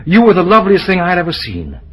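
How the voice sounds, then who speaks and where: A man's voice: sits high, wildly swooping pitch, a bit bright, breathy edge, heavily acted. An earnest, swooping lovestruck young man. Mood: tender, yearning. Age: young adult. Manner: earnest, hesitant, halting. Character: lovestruck, bashful young man pining for an engaged sweetheart. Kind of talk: old-time radio romantic drama acting. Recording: noisy vintage radio drama recording.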